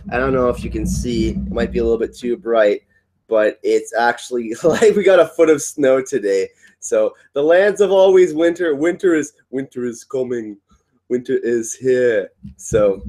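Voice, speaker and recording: A congested young man with a cough, hosting a casual livestream from home, upbeat and rambling as he greets his chat. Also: mid-range voice. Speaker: livestream host. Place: at home indoors.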